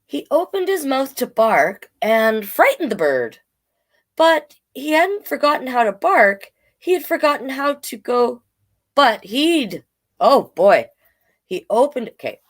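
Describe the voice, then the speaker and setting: A woman in her forties giving a casual children's read-aloud, playful and expressive, with chatty asides. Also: high-pitched, measured pace. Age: 40s. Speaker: children's storyteller.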